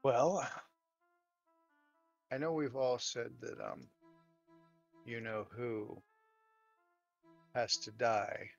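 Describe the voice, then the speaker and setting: A man playing a weary, devout, folksy dwarf warrior in an improvised audio drama, rambling and plain-spoken in a halting way. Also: medium pitch, gravelly, dry recording, exaggerated voice. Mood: earnest, resolved. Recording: dull lo-fi audio, music behind.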